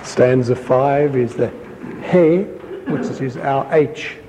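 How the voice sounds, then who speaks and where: A man reading scripture aloud in a measured, deliberate way, pausing to explain points to his listeners as a Bible teacher. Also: mid-range voice, warm tone, long reverb tail, a little hiss or hum, rough recording. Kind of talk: slow scripture reading with brief commentary.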